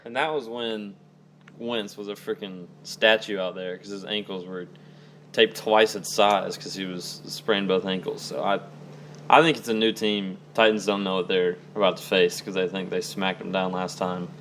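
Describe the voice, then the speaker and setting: A man's voice: low voice, steady tone, normal speed, fairly bright tone, a little hiss or hum. A young man chatting casually about sports as a fan and podcast guest.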